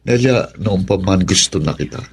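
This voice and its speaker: Husky, lovestruck suitor. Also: male voice, low voice, animated, normal speed, exaggerated voice. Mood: coaxing, insistent. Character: lovestruck married man, pushy suitor who later repents. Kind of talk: Filipino radio drama acting.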